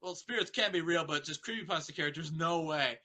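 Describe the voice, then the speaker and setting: A man's voice: fairly high pitch, brisk, bright, forceful tone. A man chatting casually as a co-reader, crude and sweary, drifting off on tangents. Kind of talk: casual bantering chat.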